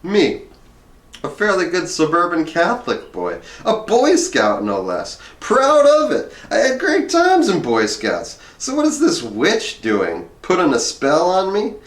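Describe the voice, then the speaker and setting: A man's voice: sits high, huge pitch swings, a little slow. A man reading a memoir aloud in a wry, conversational way, with casual asides. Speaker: reader of a memoir. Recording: roomy, echoing room sound.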